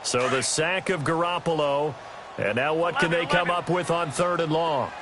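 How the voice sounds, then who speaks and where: A man's voice: a little high, moderate pace, lightly acted. A man voicing a football play-by-play announcer in a video game, calling the action in short clipped phrases. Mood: measured, focused. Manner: clipped present-tense calls. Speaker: play-by-play commentator. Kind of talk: sports commentary. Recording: loud stadium noise over voice.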